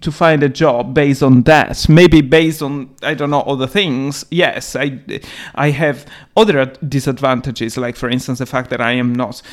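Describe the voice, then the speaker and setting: A man's voice: medium pitch, fairly even intonation, moderate pace, few pauses. A man whose first language is not English, co-hosting a casual discussion podcast and making emphatic points with frequent restarts. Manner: emphatic, restarts often, laughs while talking. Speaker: podcast co-host. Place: London.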